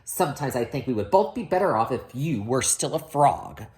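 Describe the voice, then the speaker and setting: A woman's voice: extremely low pitch, sing-song, moderate pace, a little mellow, echoey room. A woman voicing an exasperated, scolding princess in a fairy tale storybook. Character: fed-up, exasperated princess scolding her husband.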